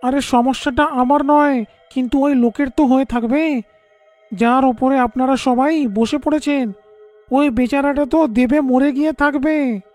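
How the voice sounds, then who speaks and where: In a cartoon horror tale, a bossy stranger man, as a woman acts him out, scolds people in a fairly high, pushy voice. He sounds curt and unfriendly from start to finish. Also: fairly even intonation, a little slow, darkish tone, soft background music. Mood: scolding. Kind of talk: cartoon story voice acting.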